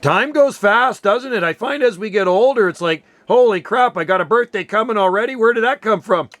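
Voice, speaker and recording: A man's voice: sits high, a little fast, very noisy. A man of sixty-one chatting casually over two-way radio in a friendly, slangy way. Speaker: amateur radio operator. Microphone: desk radio microphone, close.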